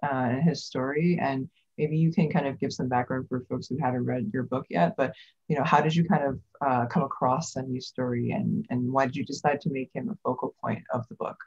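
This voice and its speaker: A woman's voice: rumbling low, fairly even intonation, brisk, darkish tone, husky. A woman moderating a conversational author interview, heard on dull, lo-fi audio.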